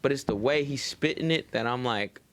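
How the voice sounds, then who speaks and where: A young American man in animated, slangy, sweary casual banter as a podcast co-host. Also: mid-range voice, moderate pace, slight background noise.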